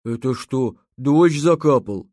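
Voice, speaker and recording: A woman voicing a gentle, earnest male bear cub in a children's story, naive and thoughtful. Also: extremely low pitch, lively intonation, slow, dry recording, studio quality, full character voice. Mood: puzzled.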